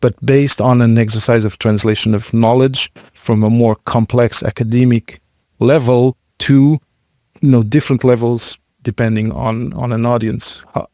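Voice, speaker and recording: A man's voice: mid-range voice, fairly even intonation, unhurried, a little mellow, dry recording. Rambling male podcast interviewer, dry sound. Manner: searching for words, rephrasing, many fillers. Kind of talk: conversational interview questions.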